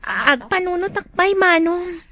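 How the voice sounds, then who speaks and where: A woman's voice: extremely high pitch, expressive, a little slow, bright, forceful tone, heavily acted. A woman playing a shy, modest young student in a Filipino radio drama, with a hesitant, demure manner. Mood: bashful.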